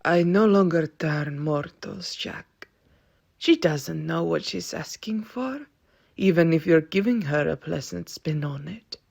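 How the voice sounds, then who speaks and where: A woman playing an ancient, world-weary Viking-born vampire aunt in an audio roleplay, wry and stern, with a husky, breathy voice and archaic wording. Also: on the low side, heavily acted. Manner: slow, dry, archaic wording.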